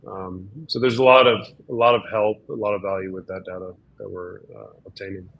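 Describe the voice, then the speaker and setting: A slightly hoarse man, a doctor, explaining things in an easygoing way with lots of fillers during a casual webinar chat. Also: lowish voice, fairly even intonation, a little fast, highs cut off.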